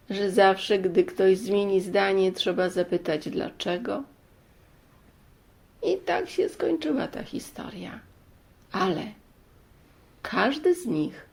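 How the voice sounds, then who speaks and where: Very slow, creaky woman storyteller. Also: medium pitch, darkish tone. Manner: deliberate phrasing with many pauses. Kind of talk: expressive fairy-tale narration, didactic.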